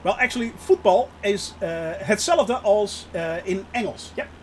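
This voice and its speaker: A man's voice: high voice, lively intonation, unhurried, a little hiss or hum. A Dutch man, native Dutch speaker, giving chatty live-stream commentary while flying a sim and answering his chat with frequent laughs. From Netherlands.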